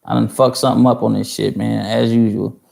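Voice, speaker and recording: A man's voice: fairly low pitch, level tone, fairly quick, creaky, a little mellow. A man in his thirties telling a long story on a livestream for music producers, rambling and swearing, with many fillers and restarts. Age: 30s. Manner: answers viewer chat mid-story.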